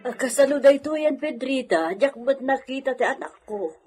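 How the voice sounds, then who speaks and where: A woman's voice: sits high, animated, moderate pace, a little mellow, faint music behind. A woman playing a worried, suspicious mother in a radio drama, questioning insistently with an Ilocano accent.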